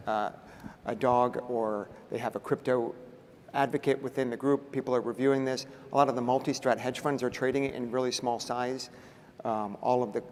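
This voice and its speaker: A man in a mid-range voice lays out a measured, analytical case as a conference panelist. The big hall adds a long reverb, and faint ambience sits underneath. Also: medium pitch, a little mellow, a little hiss or hum. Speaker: panelist, pension fund adviser. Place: large conference hall stage.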